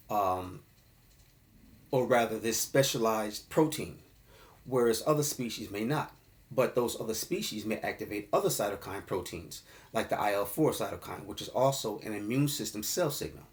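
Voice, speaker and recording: A man giving an informal explainer talk about an herbal formula, often checking in with the listener by asking "okay?" and calling the audience fam. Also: fairly low pitch. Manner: casual, addresses listeners as fam.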